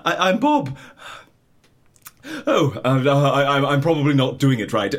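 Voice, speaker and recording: A man's voice: medium pitch, sing-song, measured pace. An actor playing a giddy, starstruck male agency interviewer in an audio comedy, gushing and prone to helpless giggling. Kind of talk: audio drama comedy acting.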